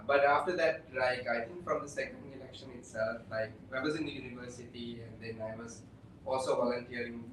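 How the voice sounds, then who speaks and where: A man from Sri Lanka working as an election observer, talking casually on a panel with many pauses and fillers. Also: medium pitch, background noise. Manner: halting, with many fillers and restarts. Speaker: election observer, program officer. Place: indoor room, shared table with other guests. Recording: echoey room, distant mic.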